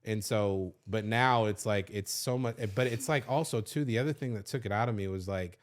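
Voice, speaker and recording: Thirties guy, kinda low voice, rambling honest story full of likes and cussing. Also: normal speed. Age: 30s. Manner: loose and confessional, swears freely, many "like" fillers. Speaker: podcast host. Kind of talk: candid personal storytelling.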